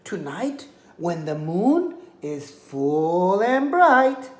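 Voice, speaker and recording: An older man voicing a skinny, clever little female lamb in a high, bleating sing-song. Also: very animated, very slow, exaggerated voice. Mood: sly, pleading. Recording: roomy echoey room.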